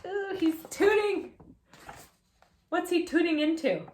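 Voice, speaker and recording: A woman reading a picture book aloud for children in an animated, playful way, talking directly to young listeners. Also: very high voice, slow pace, echoey room, a little hiss or hum. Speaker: children's storytime host.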